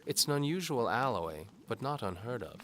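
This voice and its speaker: Man voicing an earnest young apprentice woman, pitched up. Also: big pitch swings, moderate pace, a bit bright, slight background noise. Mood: explaining. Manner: earnest, deferential, instructive. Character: earnest, humble young female alchemist's apprentice.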